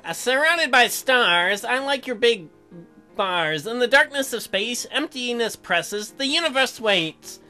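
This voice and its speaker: Woman acting earnest newlywed husband, visual novel game, high bright voice, halting, self-deprecating, music behind. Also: animated. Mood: tender, poetic. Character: eager, apologetic young newlywed husband, sweet on his wife. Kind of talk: visual novel dialogue read aloud.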